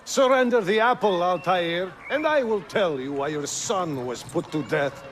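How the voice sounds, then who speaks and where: An actor voicing a vengeful, power-hungry usurper in a video game, declaiming and accusing in a dramatic way. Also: male voice, sits high, animated, measured pace, a bit bright, a little hiss or hum. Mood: cold, accusing. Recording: music behind the voice.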